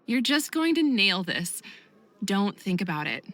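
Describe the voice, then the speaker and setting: A woman voicing a supportive, reassuring friend in a visual novel game, encouraging and gently chiding. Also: medium pitch, sing-song, a little slow. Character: supportive, reassuring female friend of young skater.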